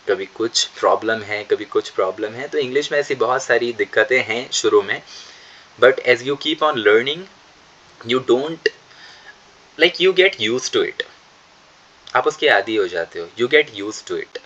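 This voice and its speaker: Bright-voiced Indian English trainer, lo-fi. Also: male voice, lowish voice, slight background noise. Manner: slow, deliberate English model sentences. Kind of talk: online class teaching, mixing Hindi and English. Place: online session.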